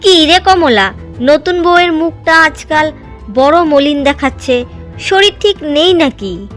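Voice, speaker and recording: A woman's voice: high-pitched, lively intonation, takes it slowly, fairly bright tone, soft background music, heavily acted. A woman playing a curious, gossiping village neighbour in a cartoon drama. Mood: curious, shocked. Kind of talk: Bengali cartoon drama dialogue.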